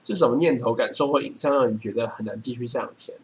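A man's voice: medium pitch, fast talker. A man with a Taiwanese Mandarin accent speaking casually and colloquially as a podcast co-host. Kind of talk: casual chat, reading book passages aloud. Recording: faint background noise.

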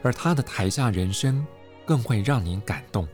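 A man's voice: fairly low pitch, animated, moderate pace, over music. A man with a Taiwanese accent hosting a podcast interview in a polished, articulate broadcast delivery. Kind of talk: scripted podcast introduction and interview.

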